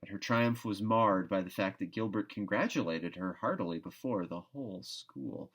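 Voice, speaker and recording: A man with a fairly low voice reads a storybook aloud. His narration is expressive and theatrical, leaning on key words with emphatic phrasing. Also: normal speed. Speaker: audiobook reader.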